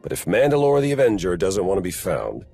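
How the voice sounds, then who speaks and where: An actor playing a wry, irreverent aide to a Sith lord in a video game, speaking in a dry, sardonic way. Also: male voice, low voice, very animated, fairly quick, vocal fry, mellow, husky, soft background music, heavily acted. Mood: matter-of-fact. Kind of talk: video game cutscene acting.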